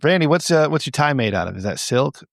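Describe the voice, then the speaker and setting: A man's voice: a little high, animated, fast, creaky, dry recording. Male podcast co-host, middle-aged, crude and conversational, recorded close and dry. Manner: crude, profane. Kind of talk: casual comedic banter.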